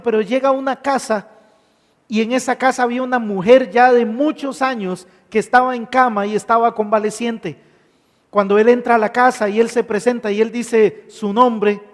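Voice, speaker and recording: A man preaching a sermon, emphatic, stacking repeated phrases. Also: very high-pitched. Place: church hall. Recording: long hall reverb.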